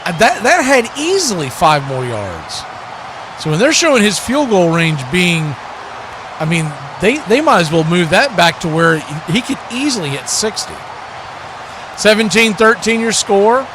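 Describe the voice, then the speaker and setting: A man with a notably high voice delivers live American football play-by-play as the announcer. Clear background noise runs behind his commentary. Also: very animated, measured pace.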